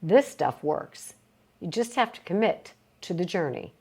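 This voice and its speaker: Lady reading a motivational book out loud, pitch moves around a lot, emphatic w little pauses. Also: lively intonation, husky. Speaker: reader of a book read aloud. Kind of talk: read-aloud nonfiction, motivational self-help.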